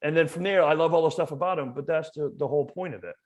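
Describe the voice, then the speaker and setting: A man's voice: a little high, fast talker, a little mellow, lo-fi sound. Passionate, emphatic man from Maine. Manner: passionate and emphatic, repeats words while building a point. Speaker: museum educator, podcast guest. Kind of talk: casual conversational interview.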